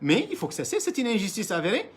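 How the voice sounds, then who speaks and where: Man, Arabic speaker, high voice, rapid, emphatic, repetitive, impassioned political monologue. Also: wildly swooping pitch, breathy edge. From Mauritania. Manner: emphatic, repetitive, restarts often, addresses leaders directly. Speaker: political commentator.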